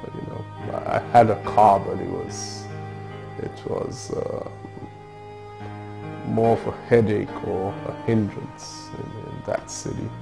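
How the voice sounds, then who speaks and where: Gravelly man, hesitant and thoughtful. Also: lowish voice, steady tone, takes it slowly, over music. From Nigeria. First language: Yoruba. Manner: thoughtful, hesitant, many fillers and restarts. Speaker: interviewee. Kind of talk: oral history interview.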